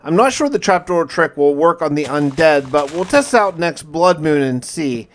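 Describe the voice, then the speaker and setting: Man, fairly high-pitched, gameplay narration, in-character diary recap, casual, dramatic storyteller, wry asides. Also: expressive, normal speed, a little hiss or hum. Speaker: gamer narrating a survival challenge.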